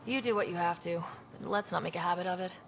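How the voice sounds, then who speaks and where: Confident explorer, gravelly creak. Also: female voice, lowish voice, quick pace, a little hiss or hum. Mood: wry, direct. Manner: wry, direct. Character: confident young woman explorer and team leader. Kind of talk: video game voice acting.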